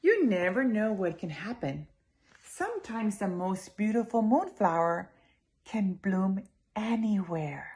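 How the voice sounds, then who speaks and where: A woman reading a children's story aloud in an expressive, emphatic way, speaking directly to her young listeners. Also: medium pitch, slow pace.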